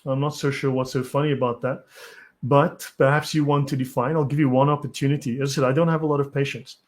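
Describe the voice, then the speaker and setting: A South African man hosts a live stream, reading from articles and answering chat. His voice sits at an ordinary middle pitch. He lectures bluntly and has little patience. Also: level tone, fast. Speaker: channel host.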